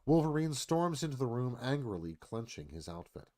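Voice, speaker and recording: A man's voice: mid-range voice, very animated, normal speed, mellow. A man narrating stage directions in a dramatic, storytelling screenplay read.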